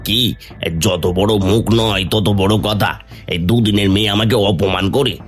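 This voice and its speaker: A man's voice: lowish voice, fairly even intonation, close to an everyday voice. A man voicing a greedy, spiteful neighbour in a Bengali cartoon, wheedling and blustering. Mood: offended. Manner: whiny, wheedling, blustering, drawn-out interjections. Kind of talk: Bengali fairy tale cartoon dubbing. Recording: music under the voice.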